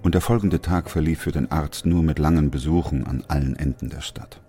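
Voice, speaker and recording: A man acting a sober, measured doctor and narrator in a literary radio drama, calm, terse and matter-of-fact. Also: rumbling low. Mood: grave narrating. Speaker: narrator and lead actor. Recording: music behind the voice.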